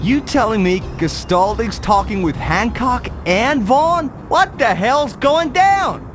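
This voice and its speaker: An actor playing an excitable, streetwise male contact in a video game, slangy and animated. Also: extremely high pitch, slow, noisy background, exaggerated voice. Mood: incredulous. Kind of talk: dramatic video game cutscene acting.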